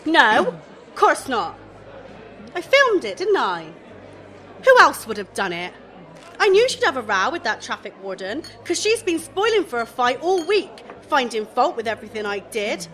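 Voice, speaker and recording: Woman as a high, bright, grumbling shop assistant. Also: very animated, normal speed, some chatter behind, full character voice. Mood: defiant. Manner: chatty, grumbling, emphatic. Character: aggrieved, self-assured female shop assistant. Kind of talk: radio comedy acting.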